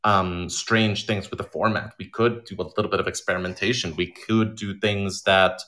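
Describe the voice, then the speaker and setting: A man with a fairly low voice speaks informally as an interview guest. He is an animated storyteller who explains his creative work conversationally and likes to act out imagined remarks. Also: moderate pace. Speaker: audio drama writer and director being interviewed.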